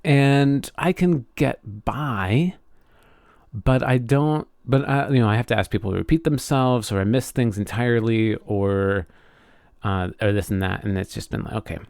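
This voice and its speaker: Halting man in close, dry casual chat. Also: medium pitch, a little mellow, dry recording. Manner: halting, frequent self-interruptions. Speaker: podcast co-host. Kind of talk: casual conversational podcast chat.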